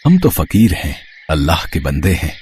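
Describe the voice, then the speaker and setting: A man playing a wise old fakir in an audio folk tale, giving slow, fatherly, solemn counsel. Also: a bass voice, very animated, darkish tone, a little hiss or hum. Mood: solemn, gentle. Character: wise old male fakir, calm mystic holy man.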